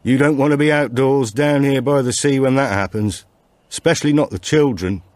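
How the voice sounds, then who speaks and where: A man voicing a grave old storyteller who gives warnings slowly and deliberately in an audiobook. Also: medium pitch, a little mellow, dry recording, pitched above the performer's own voice, close to an everyday voice. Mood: solemn warning.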